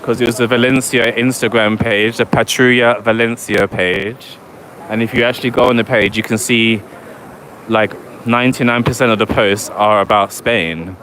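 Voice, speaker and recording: A man's voice: mid-range voice, steady tone, normal speed. A man walking city streets at night, chatting wryly to his livestream viewers. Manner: chatty, wry, talks to live chat. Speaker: livestream vlogger. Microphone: handheld phone or camera mic while walking. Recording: loud street noise behind. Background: traffic and street ambience.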